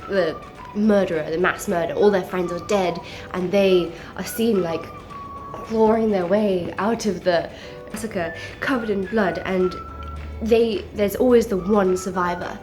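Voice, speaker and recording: A woman's voice: medium pitch, fairly even intonation, a little slow, over music. A woman with a mixed South African and English accent, stuffy and nasal from a cold, chatting enthusiastically about books to camera. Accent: mix of South African and English. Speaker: book vlogger. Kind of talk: casual book chat to camera.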